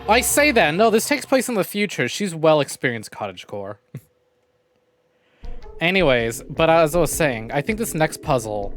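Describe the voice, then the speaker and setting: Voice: medium pitch, very animated, a little slow. A streamer giving casual, jokey and sweary live commentary while playing a visual novel. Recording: game music behind the voice. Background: video game music.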